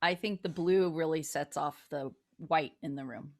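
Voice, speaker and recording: A woman co-hosting a talk show in lively, casual chat with a bubbly, gushing manner. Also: sits low, normal speed.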